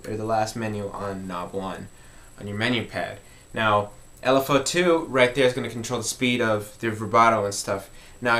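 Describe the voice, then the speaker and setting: A man's voice: mid-range voice, fairly bright tone. A man hosting an informal music tutorial, explaining step by step in a conversational way and repeating key points.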